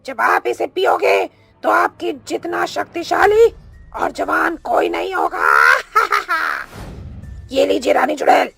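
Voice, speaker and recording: A woman voicing a bloodthirsty, tyrannical witch in a horror cartoon, with a shrill, menacing, gloating delivery. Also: wildly swooping pitch, slow, vocal fry, a bit bright, raised voice, soft background music, exaggerated voice. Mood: fawning, sinister. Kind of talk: melodramatic horror cartoon voice acting.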